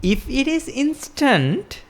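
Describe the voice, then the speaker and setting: Slow, lively-toned woman giving a sermon. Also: high-pitched. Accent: Sri Lankan. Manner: questioning, explains step by step with rhetorical questions. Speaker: Buddhist teacher.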